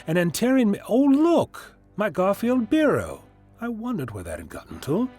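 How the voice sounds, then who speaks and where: A man's voice: sits high, very animated, moderate pace, a little mellow, full character voice. A man playing a genial, eccentric time-travelling scientist in an audio drama, chatty and given to lecturing. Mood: whimsical. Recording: music behind fan audio drama.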